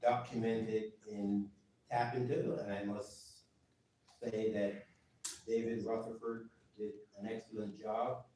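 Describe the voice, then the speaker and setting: A man speaking as a council member at a public meeting, deliberate and pointed, with measured pauses. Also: fairly low pitch, little pitch movement, dark tone, a little hiss or hum. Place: council chamber. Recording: echoey chamber from far off.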